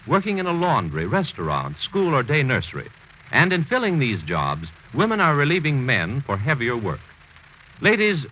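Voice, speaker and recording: An American man delivers scripted announcements in classic 1940s radio style. His medium-pitched voice is lively, formal and emphatic. The old broadcast sounds dull, with faint noise.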